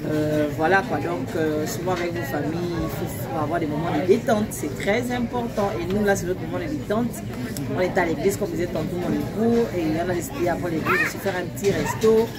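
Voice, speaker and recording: A woman's voice: fairly low pitch, brisk, over loud music. Woman chatting in a noisy restaurant. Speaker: family vlogger. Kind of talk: casual chat. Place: indoor restaurant booth. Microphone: camera or phone across the table. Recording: busy restaurant chatter, camera across table. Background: loud diners and restaurant bustle.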